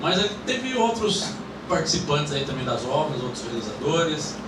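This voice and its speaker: A man's voice: a little high, big pitch swings, moderate pace. A man, hosting a panel talk at a small cinema club, rambling with many fillers. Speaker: host. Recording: echoey room, mic from far off, noisy.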